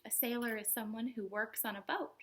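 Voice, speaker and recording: A woman hosting a storytime for young children, speaking in simple explanatory phrases with an animated, sing-song delivery. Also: fairly high pitch, brisk. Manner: animated, exaggerated questions and exclamations for young children. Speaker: children's librarian and storytime host.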